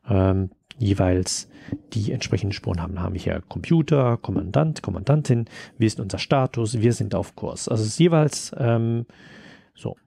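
A man presenting a casual software tutorial, thinking aloud as he works. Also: on the low side, lively intonation, normal speed, gravelly, breathy edge, no room sound. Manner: thinking aloud while working.

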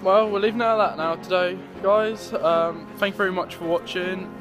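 A young Englishman with a slightly high voice chats loosely to his viewers from a cold riverbank. He rambles and calls them "guys," filmed on a handheld camera with music running underneath. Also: steady tone, normal speed. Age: young adult. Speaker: fishing vlogger. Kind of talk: casual outdoor vlog. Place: riverbank outdoors in winter. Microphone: handheld camera at arm's length.

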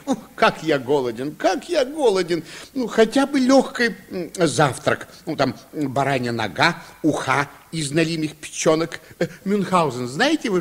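A self-pitying gourmand in an old radio play. He is high-voiced and very animated, with a plaintive way of lamenting. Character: food-loving, self-pitying male traveller, comic glutton. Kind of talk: Soviet radio play acting.

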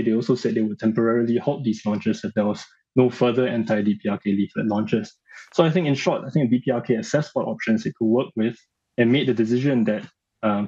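A man in his thirties giving analysis in a news interview, speaking haltingly and repeating phrases as he searches for words. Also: fairly low pitch, dark tone, breathy edge. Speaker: analyst guest. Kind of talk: live news interview commentary. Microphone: video call. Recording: slightly dull video-call audio.

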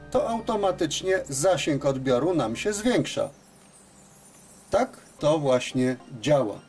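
A man gives an unscripted workshop demonstration as a repair technician, explaining step by step with hesitant pauses. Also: a little high, darkish tone. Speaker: radio repair technician presenting his work. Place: radio service workshop, indoors at a bench.

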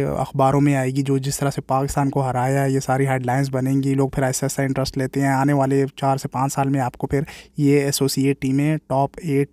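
A man's voice: mid-range voice, darkish tone, no echo. Chatty man. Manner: chatty, opinionated, keeps saying "bhai". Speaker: cricket vlogger. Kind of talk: casual walking vlog commentary. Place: outdoors, walking along streets and parks.

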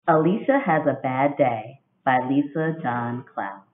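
A woman in her thirties, a teacher doing an expressive read-aloud for children, recorded indoors on a webcam. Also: extremely low pitch, unhurried. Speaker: teacher reading a picture book to children. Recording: dull, roomy home webcam recording.

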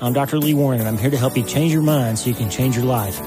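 An upbeat man from the United States delivers a scripted podcast intro. His voice is mid-pitched and encouraging. Clear music plays behind him. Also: quick pace. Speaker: podcast host.

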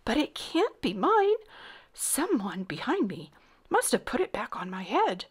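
A meek, baffled male commoner from a storybook, put on by a woman. He speaks in a hushed, breathy voice that often dips toward a whisper. He stammers politely, with pitch that wavers widely. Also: wildly swooping pitch, unhurried, full character voice. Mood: bewildered, stammering.

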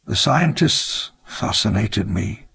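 A gravelly elderly dreamer. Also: male voice, lowish voice, animated, a bit bright, no echo, exaggerated voice. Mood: reflective. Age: older. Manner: reflective, measured, storytelling. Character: elderly male theme park founder, wistful and grand. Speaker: narrator of a memoir. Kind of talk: scripted memoir narration in a game.

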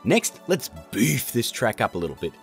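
A man hosting a software tutorial in a casual, chatty, jokey narrating style. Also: mid-range voice, sing-song, normal speed, faint music behind. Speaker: tutorial host.

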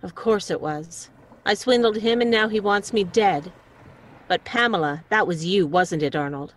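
A woman's voice: mid-range voice, moderate pace, slight background noise, close to an everyday voice. A woman playing a fierce, cool-headed rescuer in a radio mystery play. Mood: cold, commanding.

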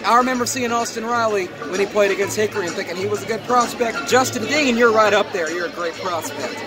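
A man talks excitedly and jokes in the stands at a ballpark, his voice pitched high. A loud crowd and booming music surround him. He has to push through the stadium noise. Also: quick pace. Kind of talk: casual chat. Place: ballpark stands during a game.

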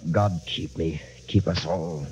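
An actor playing a principled, wary whaling first mate, speaking earnestly and formally in old radio drama style. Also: male voice, low voice, slow pace, darkish tone, muffled recording. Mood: grave, indignant. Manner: earnest, formal archaic speech. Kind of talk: mid-20th-century radio drama acting. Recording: old radio recording, faint hum.

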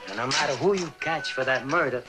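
An actor playing an opinionated dentist in a 1970s TV crime drama, blunt and lecturing. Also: male voice, medium pitch, brisk, music in the background, natural voice. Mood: grumbling. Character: opinionated, grumbling male dentist at work.

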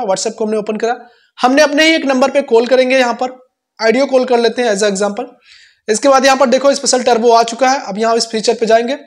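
A man in his twenties with an Indian accent, hosting a casual how-to tutorial, recorded indoors on a lapel mic. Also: very high-pitched. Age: 20s. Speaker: tech tutorial host. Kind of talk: casual how-to explanation to camera. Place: small indoor room. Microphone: clip-on lapel mic.

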